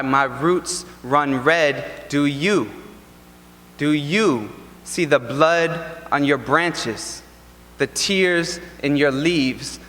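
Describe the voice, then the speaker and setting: A man's voice: a little high, bright, forceful tone. Man performing spoken word in a reverberant ballroom. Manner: rhythmic, deliberate, impassioned delivery. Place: large hotel ballroom. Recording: long reverb from a large ballroom.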